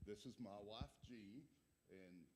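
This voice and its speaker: An older man with a Texas accent giving a folksy, joking missionary report in a church, pausing often with fillers. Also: fairly low pitch, a little hiss or hum, rough recording. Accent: Texan. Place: church sanctuary.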